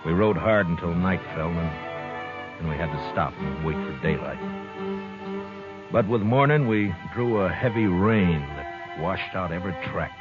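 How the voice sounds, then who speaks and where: Casting: weary American frontier marshal, deep bass, understated old-radio western delivery, dull recording. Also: male voice, animated, unhurried. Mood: grim narration. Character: weary, gruff frontier marshal of Dodge, adult man. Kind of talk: 1950s radio western acting with first-person narration. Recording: muffled old radio broadcast, music behind.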